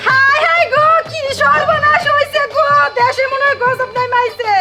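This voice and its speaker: A woman playing a shrill, wailing village mother. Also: fairly even intonation. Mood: wailing alarm. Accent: rural Bangladeshi. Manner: wailing, drawn-out exclamations. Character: melodramatic village mother-in-law, loudly lamenting and scolding. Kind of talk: broad comic short-film acting. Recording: loud music and other voices behind.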